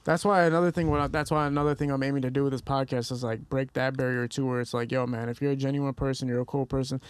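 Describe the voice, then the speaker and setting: Young male podcast host, fast, laid-back, smooth clear voice. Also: mid-range voice, steady tone, no echo. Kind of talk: casual podcast conversation.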